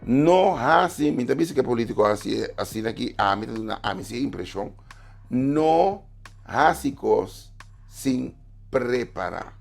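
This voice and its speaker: A man's voice: mid-range voice, lively intonation, unhurried, vocal fry. A man appearing as a writer and guest on a talk show, arguing his points emphatically. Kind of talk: studio talk show discussion.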